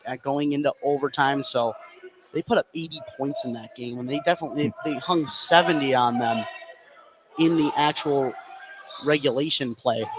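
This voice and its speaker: A man doing live play-by-play radio commentary of a basketball game, his voice lifting as the action heats up. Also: mid-range voice. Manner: voice rises excitedly as shots go up. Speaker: play-by-play sports announcer. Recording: clearly noisy gym ambience. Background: sports gym noise.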